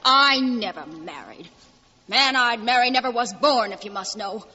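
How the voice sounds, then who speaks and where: Prim, tense spinster librarian. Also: female voice, on the high side, lively intonation, moderate pace, sharp tone. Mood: bitter. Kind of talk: 1940s radio drama acting. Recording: echoing, dull 1949 radio recording.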